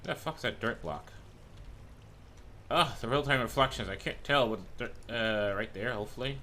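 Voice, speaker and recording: A man's voice: mid-range voice, animated, moderate pace, bright, forceful tone, a little hiss or hum. A man streaming a game in a loose, rambling chat who swears freely, and who is losing his voice. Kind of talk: casual gameplay chat.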